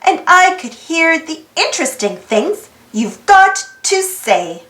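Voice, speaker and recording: A woman reading a children's storybook aloud in an expressive, singsong rhymed delivery. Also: extremely high pitch, slow pace. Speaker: storybook reader, channel host. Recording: roomy echo with music behind. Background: music.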